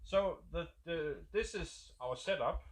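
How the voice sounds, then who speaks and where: A man's voice: on the high side, lively intonation, takes it slowly. Danish-accented man explaining a game idea. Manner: thinking aloud, searching for words in English. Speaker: game designer. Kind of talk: casual explanation of a game prototype.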